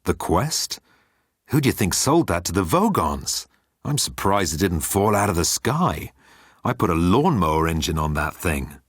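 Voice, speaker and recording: A man's voice: deep voice, sing-song, normal speed, breathy edge, no room sound, clean studio sound, heavily acted. Man playing a swaggering, vain space president. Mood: flippant. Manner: self-important, flippant. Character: vain, breezy, swaggering male alien galactic president.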